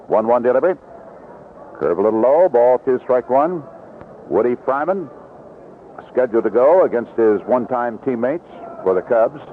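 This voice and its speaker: A male baseball announcer delivers live play-by-play in short descriptive phrases, with an animated, widely moving pitch at an ordinary male height. The sound is a narrow, lo-fi 1970s radio broadcast with faint noise behind the voice. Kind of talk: live radio sports commentary. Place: outdoor ballpark broadcast position.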